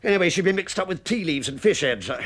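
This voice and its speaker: An actor playing a wry, shrewd English police inspector in a British radio drama, with a droll, hesitantly polite manner. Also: male voice, high-pitched, animated, fast, fairly bright tone, slight background noise.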